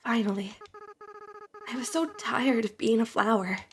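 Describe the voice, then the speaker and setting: Woman doing a soft weary goat monster boy. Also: a little high, a little slow, natural voice. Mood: relieved.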